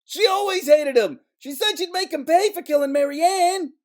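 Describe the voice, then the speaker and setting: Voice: very high voice, normal speed. An actor playing a whiny, cowardly male piano player in an audio drama, melodramatic and prone to stammering. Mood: panicked, pleading.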